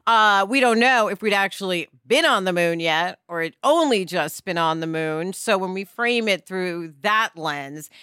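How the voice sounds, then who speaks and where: A woman co-hosting a casual talk, with a bright voice that swings widely in pitch. She makes her points emphatically and acts them out. The recording is close and dry. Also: animated, a little slow, few pauses, clean tone, dry recording. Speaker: podcast co-host. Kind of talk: casual opinionated chat.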